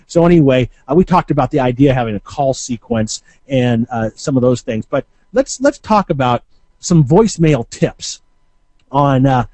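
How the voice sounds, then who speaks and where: Male coach, mid-pitched and mellow, explaining informally with a halting, self-correcting delivery; dry recording. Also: lively intonation, no echo. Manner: halting, conversational, with frequent hesitations and self-corrections. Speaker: marketing coach and Q&A host. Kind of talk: informal instructional talk.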